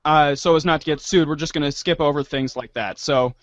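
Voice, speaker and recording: A man's voice: a little high, fairly even intonation, a little fast, no room sound, muffled recording. A man hosting with casual spoken asides, hesitant and apologetic. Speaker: host.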